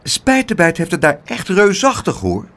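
A man's voice: high-pitched, huge pitch swings, normal speed, a bit bright, voice pushed up, exaggerated voice. Een man die een schuchter, stamelend jongetje voorleest in een kinderverhaal. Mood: evasive. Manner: hesitant, stammering. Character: timid young boy, nervous and stammering.

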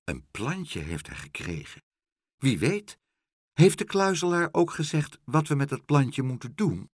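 A man voicing an anxious, gentle male shopkeeper in a children's storybook, fretful and hesitant, full of questions. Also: medium pitch, big pitch swings, measured pace, no echo, studio quality, pitched above the performer's own voice.

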